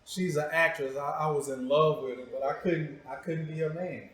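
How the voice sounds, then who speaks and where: A man's voice: a little high, fairly even intonation, normal speed, fairly bright tone. Male talk show host in an echoey room. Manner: informal, teasing, slangy. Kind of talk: casual, joking interview talk.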